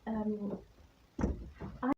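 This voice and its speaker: A woman's voice: mid-range voice, fairly even intonation, very slow. A woman from Taiwan gives an informal, personal talk in a nervous, hesitant, candid manner. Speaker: artist-in-residence guest speaker. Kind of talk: informal spoken presentation about own life and work. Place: live talk at an art venue. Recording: distant mic, dull sound, faint background noise.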